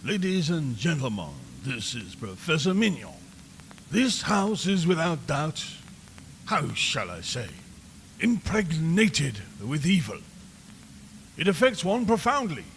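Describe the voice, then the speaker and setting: A man playing a grave foreign psychic professor in a horror radio drama, halting, emphatic and theatrically ominous. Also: on the high side, huge pitch swings, gravelly, a bit bright, exaggerated voice. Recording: long reverb, background hiss and ambience.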